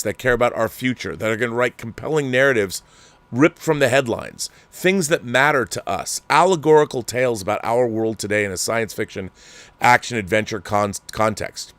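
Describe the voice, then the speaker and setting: A man hosting his own commentary show, ranting in a heated and sarcastic way. His voice sits at an ordinary middle pitch and is recorded close and dry, with no room sound. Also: fairly bright tone, dry recording.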